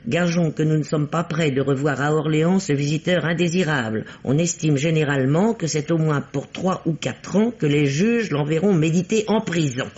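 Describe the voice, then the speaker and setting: A man's voice: fairly high pitch, moderate pace, few pauses, darkish tone, lightly acted. An actor playing a shrewd, bossy amateur detective who teases his partner, in theatrical, explanatory 1950s French radio mystery style. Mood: reading aloud. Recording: dull vintage radio recording.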